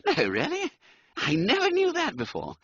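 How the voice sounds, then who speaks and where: A man voicing a genial, dithery young gentleman who stammers and hesitates through upper-class slang. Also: very high-pitched, wildly swooping pitch, moderate pace, vocal fry, no echo, pitched above the performer's own voice, full character voice. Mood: nervous jolly. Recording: dull, dry, muffled sound.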